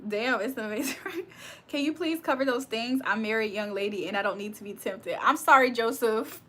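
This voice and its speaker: A woman's voice: high-pitched, pushed and bright. A young woman from Virginia hosting a livestream, reading comments aloud and reacting with frequent laughs. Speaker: livestream host reading chat. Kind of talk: casual live chat.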